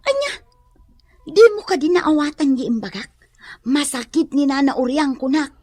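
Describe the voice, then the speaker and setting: High-pitched, fretful young Ilocano woman. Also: expressive, moderate pace, a little mellow, faint music behind. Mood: worried. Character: caring, fretful young village woman, outspoken. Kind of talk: radio drama acting.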